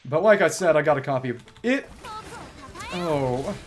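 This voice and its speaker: Male game streamer, slightly high voice, discursive and scholarly casual talk, some background noise and clicks. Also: expressive, moderate pace. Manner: bookish, discursive, digressive. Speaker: livestreamer playing a video game. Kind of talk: casual rambling commentary.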